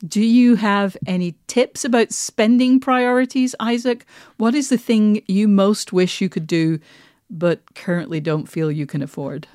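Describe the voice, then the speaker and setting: A woman's voice: medium pitch, a little slow, a little mellow, dry recording, clean studio sound. A woman co-hosting a podcast, speaking in a conversational style. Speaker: podcast co-host.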